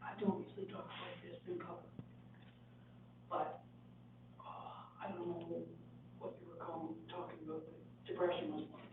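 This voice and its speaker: Older woman resident at a council meeting; hoarse, halting, earnest delivery, picked up by a distant mic in an echoing chamber. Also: lowish voice, level tone, slight background noise. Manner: halting, earnest, many restarts. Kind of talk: public comment at a meeting. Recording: tinny, echoey hall audio from a distant mic.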